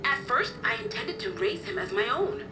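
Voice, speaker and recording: A woman's voice: sits high, sing-song, moderate pace, music in the background, low-quality recording. A kindly motherly guardian, expressively lilting. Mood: earnest, explaining. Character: kindly motherly woman, caring guardian of an orphaned boy. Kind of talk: video game cutscene acting.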